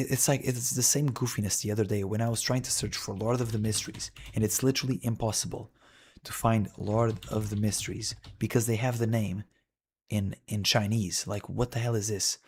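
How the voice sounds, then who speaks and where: A man's voice: lowish voice, a little mellow, husky. A male streamer talking casually and wryly while browsing a list, rambling with frequent "dude" and "man".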